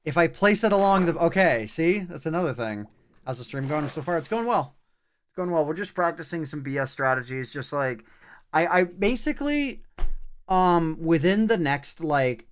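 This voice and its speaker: A man livestreaming a video game with casual commentary, thinking aloud in short, broken-up remarks as he plays. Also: mid-range voice. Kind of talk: casual live gameplay commentary. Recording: clear background noise, clicking.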